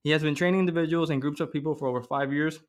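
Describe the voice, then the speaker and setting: Male host, slightly higher voice, casual friendly questions. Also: rapid-fire. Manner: reads intro bio, then relaxed and friendly. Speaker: podcast host. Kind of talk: casual interview hosting.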